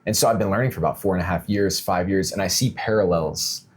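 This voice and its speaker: A man's voice: low-pitched, fast, husky. A man chatting casually as the interviewer in a podcast conversation.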